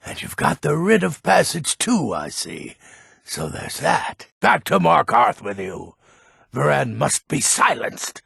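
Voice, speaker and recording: A grumpy old assassin from a video game, scornful and world-weary. The voice is extremely gravelly and rasping, with pitch that swings theatrically. He grumbles sardonically at an unhurried pace. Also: huge pitch swings, fairly bright tone, no echo, clean studio sound, full character voice. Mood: scolding. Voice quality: gravelly, raspy. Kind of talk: video game character dialogue.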